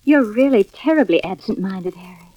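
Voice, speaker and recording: A woman's voice: medium pitch, expressive, moderate pace, dry recording, highs cut off, lightly acted. An American woman playing an anxious, evasive housewife and mother in a 1940s-style radio drama, speaking a little hesitantly. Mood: strained, reproachful.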